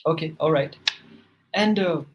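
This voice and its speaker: A man's voice: fairly high pitch, measured pace, dull audio, background noise. A man working as an English tutor, patiently giving corrective grammar feedback in a one-on-one session.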